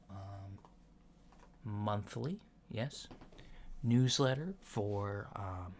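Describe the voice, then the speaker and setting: Man playing an alien doctor, lowish voice, unhurried, soft ASMR delivery, reassuring, hesitant, matter-of-fact. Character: casual, reassuring alien doctor giving a checkup. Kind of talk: soft-spoken ASMR roleplay. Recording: lo-fi with clear background noise.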